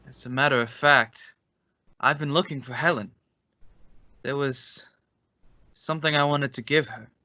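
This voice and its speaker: An actor plays an unhinged man of old radio suspense, bright-edged and ominously understated. He speaks with measured, sardonic menace in a dry, slightly dull recording. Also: medium pitch, dry recording. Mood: quietly menacing. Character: unhinged escaped madman, quietly menacing old acquaintance.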